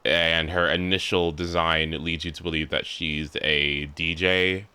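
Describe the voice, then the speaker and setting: A man's voice: low voice, flat delivery, measured pace, pushed and bright, smooth, no room sound. A young man chatting casually and rambling on a video game livestream. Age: young adult. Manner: rambling, informal. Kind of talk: casual live stream chat. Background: game music.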